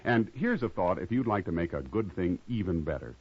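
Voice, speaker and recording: A man's voice: sits low, very animated, brisk, slightly rough. A man announcing a 1950s radio commercial in a chatty, persuasive, folksy style. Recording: muffled, dry old radio recording.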